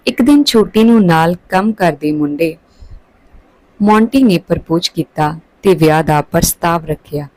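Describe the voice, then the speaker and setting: A woman's voice: lowish voice, animated, moderate pace, darkish tone, no room sound, poor audio. A woman reading a scripted story aloud as a storyteller.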